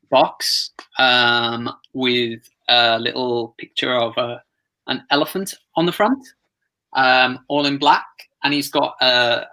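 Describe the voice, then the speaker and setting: A man's voice: mid-range voice, sharp tone, poor audio. A man taking part in a workshop, describing things off the cuff in hesitant, pieced-together phrases. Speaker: workshop participant. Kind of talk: unscripted description.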